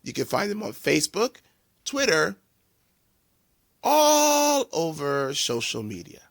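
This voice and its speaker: Guy reading a kids storybook, really animated, gravelly voice, recorded close and dry. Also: on the high side, no echo. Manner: deliberate, theatrical delivery for young children. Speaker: children's story reader.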